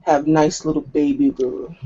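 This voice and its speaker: A man's voice: on the high side, fairly even intonation, measured pace, dark tone, slightly rough, lo-fi sound. A man playing a menacing, condescending werewolf elder in a drawling, taunting manner. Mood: taunting, threatening.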